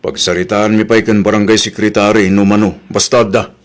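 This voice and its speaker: A man's voice: on the low side, level tone, fairly quick, natural voice. A man with an Ilocano Filipino accent playing a bossy, money-minded middle-aged village captain in a comedy audio drama. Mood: scheming. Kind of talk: comedy radio drama acting.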